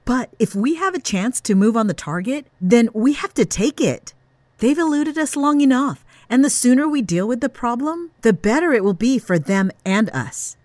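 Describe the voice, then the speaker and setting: An actress playing an authoritative, unbending government superior in an audio drama, commanding and dismissive. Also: female voice, a little high, animated, normal speed, darkish tone, dry recording, close to an everyday voice. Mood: firm, reasoning.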